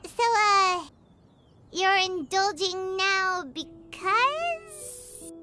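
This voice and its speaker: A woman's voice: very high-pitched, very slow, full character voice. A woman voicing a small, chatty, childlike fairy guide in a video game, eager, cheeky and exclamatory. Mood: teasing. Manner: refers to self in third person, exclamatory. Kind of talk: video game character dialogue. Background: music.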